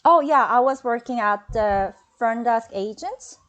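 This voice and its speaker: A woman whose first language is Korean speaks English with a Korean accent. Her voice sits a little high, and her phrasing is halting, full of fillers as she hunts for words. She talks about her studies in an unscripted webinar interview. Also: expressive, a bit bright, highs cut off. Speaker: former student.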